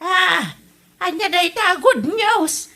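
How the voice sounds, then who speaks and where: A woman plays a feisty Ilocano village wife in a comedy drama podcast, dodging and retorting. Her voice is high and bright, with very lively swoops in intonation. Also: takes it slowly, heavily acted. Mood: curious.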